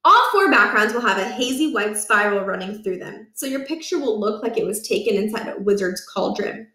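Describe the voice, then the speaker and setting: A woman reading a children's book aloud as expressive narration, with measured, emphatic phrasing. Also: medium pitch, reverberant.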